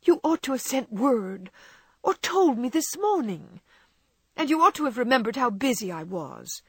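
A woman reading aloud voices a distraught young wife who pleads in a high, tearful, overwrought way. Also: big pitch swings, normal speed, breathy edge, no echo, full character voice. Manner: sobbing, pleading, high-strung.

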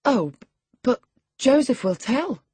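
A woman's voice: a little high, animated, moderate pace, mellow, slightly rough, no room sound, pitched above the performer's own voice. A woman voicing a peevish, evasive teenage girl from a genteel household in a storybook reading. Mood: nervous. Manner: petulant, stammering when flustered.

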